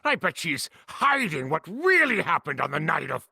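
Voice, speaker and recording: A defiant game hothead with a high, forceful voice that has a gravelly edge. He is biting, indignant and accusatory. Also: very animated, moderate pace, dry recording, studio quality, full character voice. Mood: angry, accusing. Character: hot-tempered, defiant male sect member. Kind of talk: dramatic video game acting.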